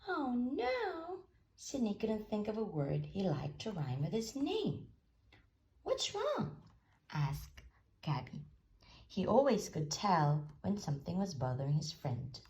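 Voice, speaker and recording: A woman reading a children's storybook aloud as an expressive storyteller. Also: lowish voice, measured pace.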